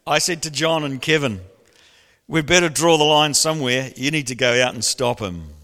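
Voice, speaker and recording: Middle-aged male pastor, gravelly and animated, preaching in a hall with a long echo. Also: fairly high pitch, normal speed. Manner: animated storytelling with bursts of laughter. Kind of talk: sermon. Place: church hall. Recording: long church reverb.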